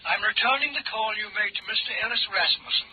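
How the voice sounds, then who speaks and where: An actor playing a prim, hesitant household servant on the telephone in a 1950s radio drama, stiffly formal. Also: male voice, sits high, animated, quick pace. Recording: muffled, very noisy old radio phone call.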